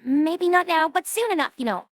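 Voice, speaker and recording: A woman's voice: extremely high pitch, lively intonation, normal speed, no echo, studio quality. A woman voicing a brash, loyal young female pegasus pony with a youthful, slangy, cocky delivery in a cartoon audio drama. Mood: earnest. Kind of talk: animated cartoon-style audio drama dialogue.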